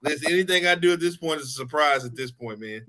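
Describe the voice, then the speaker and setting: Bright-voiced joking man in his thirties. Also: on the high side, fast talker, no room sound. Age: 30s. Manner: joking, teasing, laughs often. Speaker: podcast host. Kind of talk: casual sports chat.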